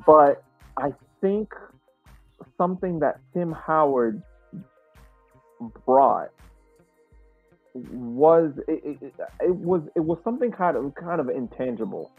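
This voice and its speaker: A man hosting a casual soccer podcast discussion, speaking haltingly and repeating words as he reflects. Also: a little high, animated, slightly rough, soft background music. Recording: muffled, roomy sound.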